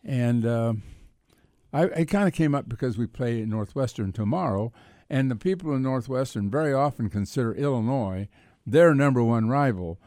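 A man's voice: mid-range voice, expressive, normal speed, darkish tone, no echo, clean studio sound. An older man with an American accent talking sports casually, in a rambling, reflective way. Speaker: sports columnist and radio co-host.

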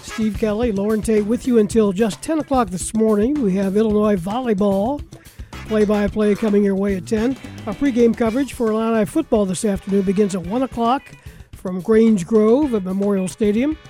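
A man's voice: high-pitched, moderate pace, warm tone, soft background music. An American man hosting a live local sports radio talk show, announcing and chatting with guests.

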